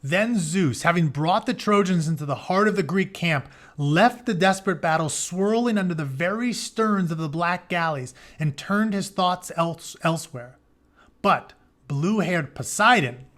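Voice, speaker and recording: High-pitched man reading an epic aloud. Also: fairly bright tone. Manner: dramatic reading broken by plain informal paraphrase. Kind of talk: read-aloud of an epic retelling with casual asides.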